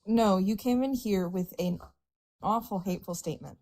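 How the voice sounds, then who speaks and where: A woman's voice: mid-range voice, moderate pace, darkish tone. A woman on a debate panel, making pointed, scolding remarks.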